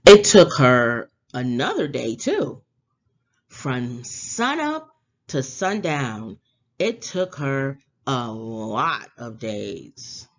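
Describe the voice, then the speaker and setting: A woman reading a children's storybook aloud in an expressive, theatrical storytelling manner. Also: a bass voice, drawn-out words, dull audio. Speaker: read-aloud presenter.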